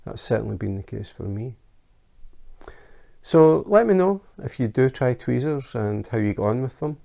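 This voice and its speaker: Scottish guy chatting on his craft vlog, dark mellow voice, lots of ums. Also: medium pitch, moderate pace, vocal fry. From Scotland. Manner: chatty, relaxed, with frequent ums and uhs. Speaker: YouTube craft channel host. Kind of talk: casual how-to vlog. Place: his home in Denmark.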